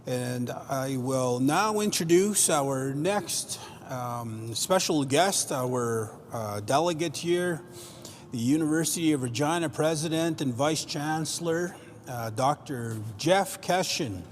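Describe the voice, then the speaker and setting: A man's voice: on the high side, animated, slow, in a large hall, faint music behind. A man hosting a virtual graduation ceremony, introducing guests in an earnest, unscripted way with many fillers and restarts. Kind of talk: spoken introduction at a virtual convocation.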